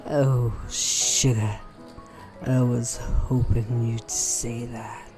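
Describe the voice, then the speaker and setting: A woman's voice: very deep voice, steady tone, very slow, loud music behind the voice, heavily acted. A woman playing a sly, venomous bounty hunter in a Western audio drama, with a sugary, mocking Southern American drawl. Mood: taunting. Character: sly, venomous female rival bounty hunter in Old West. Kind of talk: Western audio roleplay acting.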